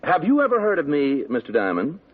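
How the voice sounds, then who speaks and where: An actor playing a haughty, condescending male tailor in a 1950s radio drama, speaking in a sneering, drawn-out way with a husky voice. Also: a little high, wildly swooping pitch. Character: snobbish haughty male tailor, middle-aged. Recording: dull old radio broadcast.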